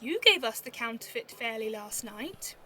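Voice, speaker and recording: A woman's voice: on the high side, sing-song, normal speed, exaggerated voice. A woman playing a witty, bawdy, teasing young Mercutio in a theatrical Shakespeare audio drama, recorded on a phone at home. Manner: theatrical, teasing, swooping between loud flourishes and mutters.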